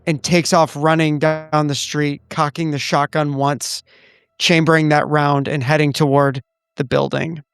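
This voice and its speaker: A man narrating a tabletop horror game in a deliberate, dramatic, descriptive way. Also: fairly high pitch, little pitch movement, creaky, a bit bright, clear, resonant tone, no room sound, clean studio sound. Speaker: tabletop roleplaying game cast member.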